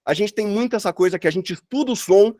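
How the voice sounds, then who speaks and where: A man's voice: sits high, fast talker, mellow, dry recording, clean studio sound. A man teaching an online workshop in an informal, chatty way full of slang and filler tags. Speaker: workshop instructor, sound designer. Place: online video call.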